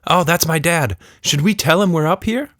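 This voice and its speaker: A man playing a plucky, curious young girl in a children's story, earnest and expressive. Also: on the high side, moderate pace, no echo, studio quality, pitched above the performer's own voice, lightly acted.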